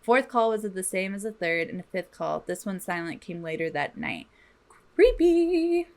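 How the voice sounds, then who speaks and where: A woman's voice: mid-range voice, big pitch swings, normal speed. A woman co-hosting a podcast, telling a true crime story from notes in an informal, slangy way with some swearing. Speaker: podcast co-host.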